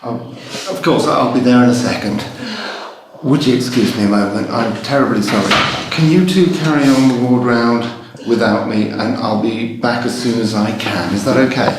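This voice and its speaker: A man's voice: medium pitch, moderate pace, background noise, close to an everyday voice. A man playing a busy, apologetic hospital doctor in a training film, speaking politely and hesitantly. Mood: apologetic, flustered. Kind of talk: acted training film scene. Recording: echoey room, faint music.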